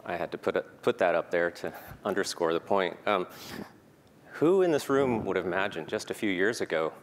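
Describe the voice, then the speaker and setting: A man giving a prepared conference talk, earnest and peppered with "um" fillers. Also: low-pitched. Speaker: conference speaker. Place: conference hall. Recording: reverberant hall, faint noise.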